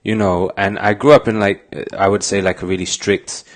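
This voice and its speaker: A man's voice: fairly low pitch, flat delivery, brisk. A man in his thirties talking casually as a podcast guest, informal and full of conversational tags like "you know what I mean". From Glasgow and Oxford, UK. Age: 30s. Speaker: interview guest, musician and doctor.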